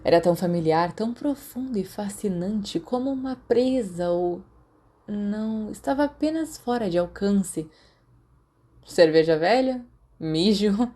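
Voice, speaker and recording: A woman's voice: mid-range voice, lively intonation, normal speed, mellow. A woman with a Brazilian accent narrating scripted fiction as an audiobook reader.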